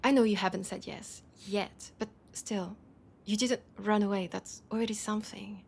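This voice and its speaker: A woman playing a proud but desperate vampire leader in an intimate audio drama, with a French accent and a halting, breathy delivery that slips toward whispers. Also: medium pitch, a little mellow, slight background noise. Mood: grateful, hesitant. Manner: hesitant, halting, breathy asides dropping into whispers.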